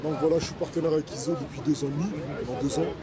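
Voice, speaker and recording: A man from Congo with a moderately high voice gives relaxed, colloquial interview answers. Heavy crowd and urban noise surrounds him outdoors. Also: very fast. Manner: colloquial, informal. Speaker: sports trainer and community activist. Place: outdoors in a city park.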